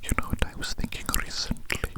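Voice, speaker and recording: A performer voicing a polite, gleeful human-eating alien in a breathy, close-up ASMR whisper, savouring and musing in soft repeated phrases. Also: fairly quick, natural voice. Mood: musing, curious. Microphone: very close microphone.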